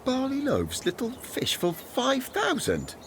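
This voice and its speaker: An actor playing a doubtful, weary disciple who was once a tax collector, speaking in an earnest, plaintive manner in a Bible radio drama. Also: male voice, very high voice, huge pitch swings, slow pace, a little hiss or hum, heavily acted.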